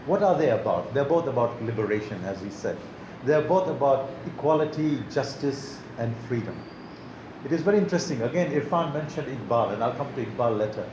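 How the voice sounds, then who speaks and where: An older man lectures to a room in a loose, conversational way, often restarting words mid-phrase. His voice sits at a middle pitch. The recording is echoey, with steady noise behind him. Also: a little fast, muffled recording. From Tanzania. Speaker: guest lecturer. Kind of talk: academic lecture. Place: lecture hall.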